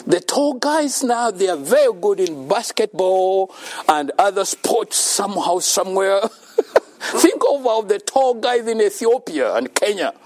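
A man speaks slowly and deliberately. His voice sits a little high and moves lively up and down as he makes earnest points about faith, with frequent laughter between his remarks. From Uganda. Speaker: speaker at a children's home. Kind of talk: faith-based motivational talk.

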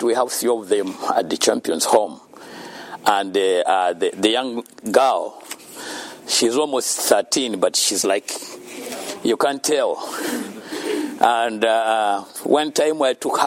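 A man from Uganda, giving an earnest faith-based talk about being thankful to God and often laughing between his points. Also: medium pitch. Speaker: speaker at a children's home.

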